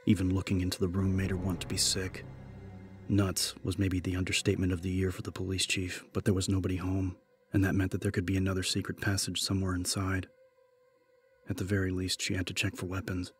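A man narrating a scripted horror story as an audiobook, measured and serious. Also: sits low, completely flat delivery, breathy edge.

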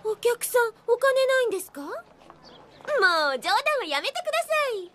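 A woman voicing a polite, prim young fast-food clerk in a cartoon, with a sing-song service manner. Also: extremely high pitch, big pitch swings, fairly bright tone, slight background noise, full character voice. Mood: exasperated. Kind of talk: animated cartoon acting.

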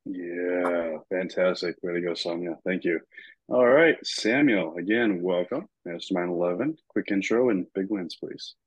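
Deep, husky middle-aged male coach. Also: measured pace, no echo. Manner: encouraging. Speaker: host and business coach. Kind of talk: moderating a group coaching call. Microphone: video call. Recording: dull, muffled video-call audio.

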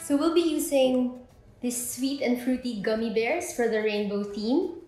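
A woman's voice: fairly high pitch, measured pace. Echoey young woman presenting a recipe. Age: 20s. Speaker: host of a drink recipe video. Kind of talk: scripted step-by-step tutorial presenting. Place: indoor kitchen with bare walls. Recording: echoey room with background music. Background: music.

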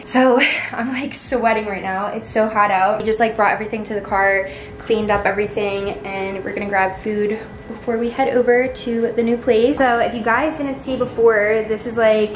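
A woman's voice: mid-range voice, a little hiss or hum. A young woman in her twenties chatting bubbly and casually to a handheld camera, peppering her talk with fillers as she films in an empty apartment. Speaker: vlogger. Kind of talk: casual vlog apartment tour. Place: empty apartment with bare walls and hard floors. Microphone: handheld camera at arm's length. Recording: echoey empty room.

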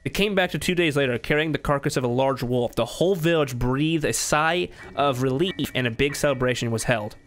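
A man's voice: mid-range voice, brisk, hardly any pauses. Young man doing slangy gameplay commentary. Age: 20s. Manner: informal, slangy, bantering with the game. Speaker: gamer, let's player. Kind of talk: casual gameplay commentary mixed with reading aloud.

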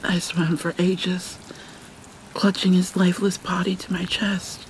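A woman playing a guilt-ridden, prickly but caring vampire mermaid in an ASMR roleplay, halting and formal, slipping into whispers. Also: fairly low pitch, flat delivery, lightly acted. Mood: anguished, haunted. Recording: noisy ambience with water sounds.

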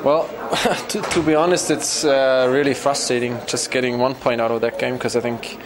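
A young man, a team captain, giving a halting post-game interview in an arena corridor. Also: medium pitch, level tone, a crowd in the background, low-quality recording. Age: young adult. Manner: halting, repeating words as he searches. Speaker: ice hockey team captain. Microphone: handheld interview mic.

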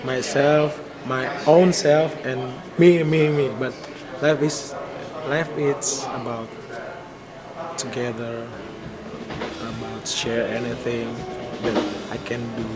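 Young indonesian guy talking really slowly, halting english, music behind him. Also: mid-range voice, level tone, poor audio. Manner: halting, searching for English words. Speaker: singer. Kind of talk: on-camera testimonial. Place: large indoor hall with tables.

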